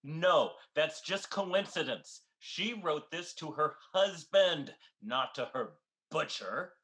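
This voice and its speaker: An actor playing an exasperated, earnest husband with emphatic, indignant delivery in an old-time radio sitcom. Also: male voice, on the high side, animated, takes it slowly, fairly bright tone.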